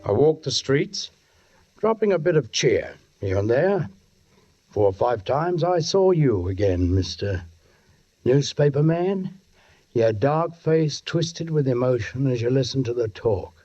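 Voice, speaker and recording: An actor playing a brooding, watchful London police sergeant who narrates in 1940s radio drama style. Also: male voice, medium pitch, very animated, a little slow, mellow. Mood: brooding, suspenseful. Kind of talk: 1940s radio acting, first-person narration. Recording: dull 1940s radio recording, music behind.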